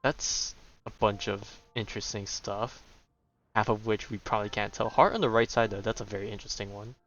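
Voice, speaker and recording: A man's voice: fairly low pitch, fairly quick, a bit bright, slight background noise. Muffled, offhand male gamer commentating. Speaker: gamer, streamer. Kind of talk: casual gameplay commentary.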